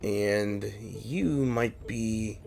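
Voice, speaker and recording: A man's voice: on the low side, extremely slow, creaky, a little mellow. A man giving casual gameplay commentary, muttering and thinking aloud as he plays. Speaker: gaming streamer. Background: game music.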